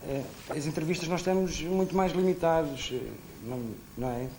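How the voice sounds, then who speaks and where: Earnest cameraman, dark voice. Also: fairly high pitch, a little fast. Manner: earnest, repeating himself. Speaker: TV camera operator. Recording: old VHS TV recording, faint hiss.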